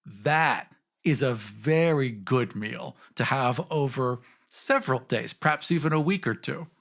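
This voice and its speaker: A man's voice: mid-range voice, unhurried, creaky, a bit bright. A man in a casual tabletop game discussion, explaining with vivid storytelling.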